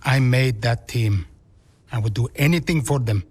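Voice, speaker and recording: An actor playing a devoted, earnest racing team principal, measured and emphatic, in a naturalistic game cutscene. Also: male voice, medium pitch, darkish tone, husky, lightly acted.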